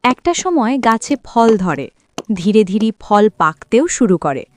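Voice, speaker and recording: A woman's voice: mid-range voice, sing-song, unhurried, slight background noise. A woman reading scripted narration for a children's cartoon story.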